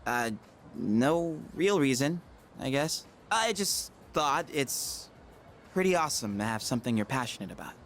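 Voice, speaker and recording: A man's voice: medium pitch, lively intonation, measured pace, fairly bright tone, faint music behind. A man voicing a friendly, awkward teenage boy in a video game, talking casually and hesitantly. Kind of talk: English video game dub acting, casual dialogue.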